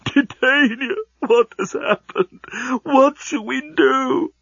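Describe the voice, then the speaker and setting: A man's voice: very high voice, takes it slowly, vocal fry, bright, forceful tone, breathy edge, no room sound, lo-fi sound, voice pushed up, heavily acted. A man voicing a vain, melodramatic elderly genius in a histrionic storybook performance. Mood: distraught, sobbing. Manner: histrionic, emphatic.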